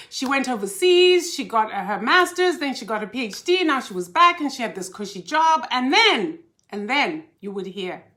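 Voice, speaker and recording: A woman's voice: on the high side, bright, forceful tone. A woman from Zimbabwe, a life coach hosting a casual live stream and chatting animatedly with her viewers, laughing easily. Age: older.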